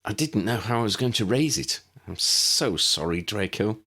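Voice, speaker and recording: Man voicing a serious, clever woman, raised pitch. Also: lively intonation, normal speed, sharp tone, full character voice. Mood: regretful. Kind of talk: audiobook character dialogue.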